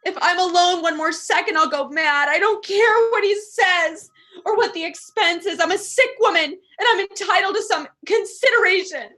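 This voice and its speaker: Woman acting a very high-voiced, panicky invalid wife. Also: normal speed, pushed and bright. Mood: distraught. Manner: nervous, demanding, melodramatic. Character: panicky, imperious, self-pitying invalid wife alone at night. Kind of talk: suspense radio play acting.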